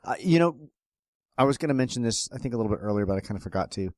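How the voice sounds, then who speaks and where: A man's voice: medium pitch, animated, rapid-fire, darkish tone, no room sound, studio quality. A man co-hosting a film podcast, chatting casually in a rambling, anecdotal way. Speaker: podcast co-host. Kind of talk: casual conversational chat about a film.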